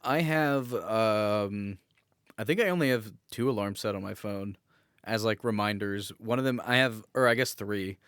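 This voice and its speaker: A man's voice: fairly low pitch, normal speed, fairly bright tone, clean tone, dry recording, clean studio sound. A man co-hosting a podcast with casual, joking and sometimes crude banter. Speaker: podcast co-host.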